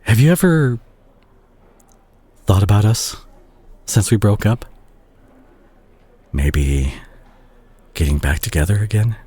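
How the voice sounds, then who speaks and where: A man acting as a remorseful young doctor talking intimately to his ex in an audio roleplay. His voice is low and husky, with lively swings in pitch. He speaks gently and haltingly, full of tenderness. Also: full character voice. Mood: nervous, hopeful. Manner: hesitant, soft-spoken, halting. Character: remorseful, tender male doctor, listener's ex-boyfriend.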